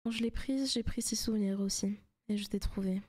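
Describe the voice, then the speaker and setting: A woman playing a seductive, possessive demon in a soft, teasing ASMR roleplay, often slipping into whispers. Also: medium pitch, fairly quick, natural voice. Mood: possessive, sweet. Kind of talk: ASMR roleplay, intimate scripted monologue.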